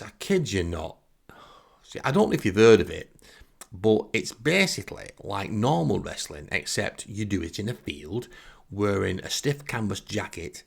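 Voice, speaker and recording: A low-voiced man with a Northern English accent tells a comic story about himself. His delivery is wry and self-mocking, with lively swings in pitch and plenty of gravelly creak. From Northern England. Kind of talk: humorous first-person anecdote.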